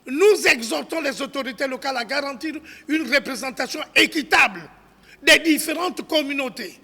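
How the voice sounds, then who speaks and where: A man's voice: very high voice, normal speed, gravelly, pushed and bright. A man solemnly reads out a formal public statement as a civil society spokesman, declaiming and sometimes stumbling over the text. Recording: long reverberation of a hall.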